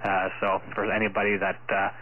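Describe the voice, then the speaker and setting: A man working as an amateur radio operator, chatting casually in short, halting phrases during a radio contact. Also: fairly low pitch, monotone. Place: at a ham radio station. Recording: muffled phone-like radio audio.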